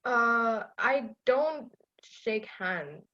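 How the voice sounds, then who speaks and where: A woman's voice: on the high side, lively intonation, very slow, bright, forceful tone, muffled recording, exaggerated voice. A woman playing a sardonic, impatient spaceship crew member in a sci-fi audio drama, sarcastic and exasperated. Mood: exasperated, wary.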